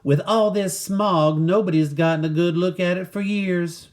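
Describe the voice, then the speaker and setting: Man putting on a high, folksy cowgirl. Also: fairly even intonation, lightly acted. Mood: folksy, friendly. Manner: drawn-out, folksy. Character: friendly drawling cowgirl woman selling canyon postcards. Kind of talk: storybook character voice.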